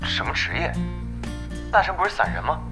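A man acting in an audio drama as a courteous, businesslike young guild leader who speaks in measured phrases. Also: fairly high pitch, sing-song, gravelly. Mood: courteous, probing. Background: background music.

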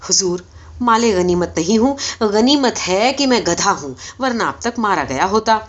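A woman plays a talking donkey from a storybook, a polite, wry fellow who reasons and pleads earnestly. The intonation swings in a lively way, on a slightly dull recording. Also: mid-range voice, close to an everyday voice. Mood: pleading.

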